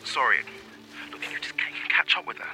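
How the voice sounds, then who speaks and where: A man's voice: fairly quick, pushed and bright. Urgent young man over music. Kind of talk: naturalistic audio drama acting.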